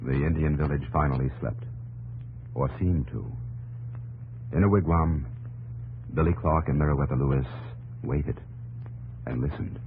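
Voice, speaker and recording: A man narrating a scripted 1950s radio drama in a solemn, measured way. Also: rumbling low, phone-quality sound, background noise. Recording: old 1950s radio broadcast, loud music.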